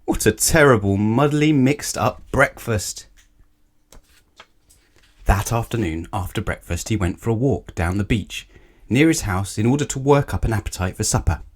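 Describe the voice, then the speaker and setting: Highly animated male children's storyteller. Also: moderate pace. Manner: expressive, addresses young listeners directly. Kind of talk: children's picture book read-aloud.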